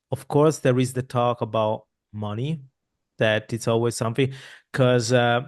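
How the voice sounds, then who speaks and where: Guy in his 30s hosting a podcast, rambly, lots of restarts, talks into a boom mic at home. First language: Italian. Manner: rambling, many restarts and fillers, animated hand gestures. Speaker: podcast host. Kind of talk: casual interview chat. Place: home room. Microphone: boom mic with headphones, video call.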